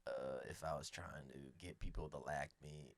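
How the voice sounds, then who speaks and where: A man co-hosting a comedy podcast, rambling through absurd improvised bits in a deadpan way. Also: extremely low pitch, normal speed, dry recording. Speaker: podcast co-host.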